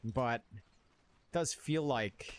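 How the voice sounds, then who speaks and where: A man's voice: medium pitch, slow pace. A man giving live esports commentary, analysing the game play by play. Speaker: esports caster.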